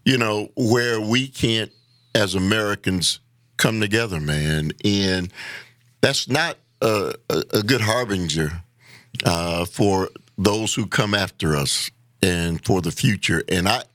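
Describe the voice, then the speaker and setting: A man speaking earnestly as a guest in a casual conversation, halting and repeating words as he builds his point. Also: fairly low pitch, a bit bright, no echo, studio quality.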